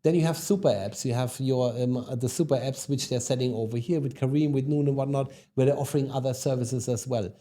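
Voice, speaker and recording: A man in his forties with a German accent talks through his career in a relaxed podcast interview. His voice is mellow and mid-pitched, and he fills gaps with hesitations and tag questions like "no?" and "right?". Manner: conversational, frequent ums and tag questions. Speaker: guest, e-commerce executive.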